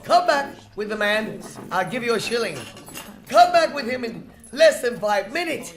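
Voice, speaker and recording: A woman's voice: a little high, lively intonation, normal speed, a little hiss or hum, lightly acted. A woman playing a joyful, generous reformed old male miser, theatrically and exuberantly, in a live radio drama. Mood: giddy delight. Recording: live stage, music and audience behind.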